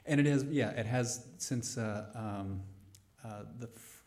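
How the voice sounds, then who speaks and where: A middle-aged man speaking thoughtfully and haltingly as a playwright answering questions at a live post-show discussion. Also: medium pitch, a little mellow. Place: live radio play venue before a studio audience.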